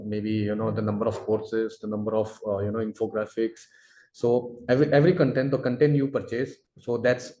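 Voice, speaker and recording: A man answering webinar questions as a product expert, explaining in a halting, wordy way full of verbal fillers. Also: fairly low pitch, mellow. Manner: halting, wordy, repeats filler phrases "you know". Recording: roomy, echoey webinar audio.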